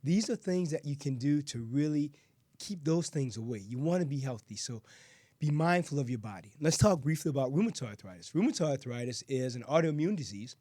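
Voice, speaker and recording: A male physician hosts his own show, talking through medical topics at a moderate, everyday pitch. He explains things plainly, sometimes stopping to correct himself. The sound is dry and close, with no room echo. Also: animated, fairly quick, warm tone. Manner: explanatory, with frequent self-corrections. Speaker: physician podcast host. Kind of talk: health talk and interview.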